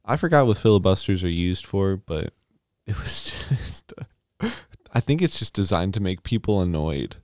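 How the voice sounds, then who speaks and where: A man's voice: low voice, expressive, normal speed, a little mellow, dry recording, studio quality. A man hosting a radio show, chatting casually in a rambling, joking way. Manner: rambling, joking, self-deprecating.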